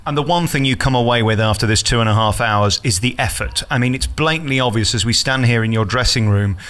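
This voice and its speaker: A man's voice: mid-range voice, fast talker, fairly bright tone. A man interviewing conversationally as a radio presenter, effusive and emphatic.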